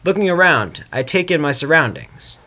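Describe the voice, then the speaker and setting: A man with a slightly high voice reads fiction aloud in an amateur way. He narrates in the first person with expression, keeping an informal, homemade storytelling feel. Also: big pitch swings, moderate pace, pushed and bright, rough recording. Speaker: fan fiction reader. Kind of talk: amateur dramatic story reading with casual asides.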